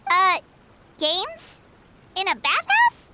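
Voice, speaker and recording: A woman voicing an excitable but polite young girl in scripted video game dialogue. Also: extremely high pitch, extremely slow, background noise, heavily acted. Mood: flustered, curious.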